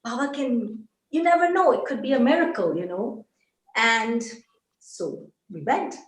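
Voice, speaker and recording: A woman's voice: on the high side, expressive, measured pace, reverberant. A woman from Barbados, an older interview guest, earnestly recounting a personal spiritual journey with frequent restarts. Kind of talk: personal testimony in conversation.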